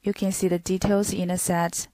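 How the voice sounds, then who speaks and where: A woman's voice: fairly low pitch, flat delivery, normal speed, dark tone, no room sound. A woman reading scripted product demo narration in a stilted, word-by-word manner.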